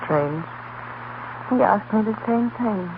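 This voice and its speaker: A resigned, quietly heartbroken young woman in an old radio play, subdued and restrained. The recording is tinny and narrow with clear noise. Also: medium pitch, lively intonation, takes it slowly. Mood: resigned, sad. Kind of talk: 1930s radio drama acting. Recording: noisy, tinny 1930s radio broadcast.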